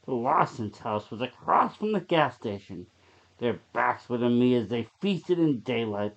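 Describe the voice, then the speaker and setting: A narrator reads a horror short story from a script, in a voice of middling pitch that sits between typical male and female ranges. The recording is a little dull and lo-fi.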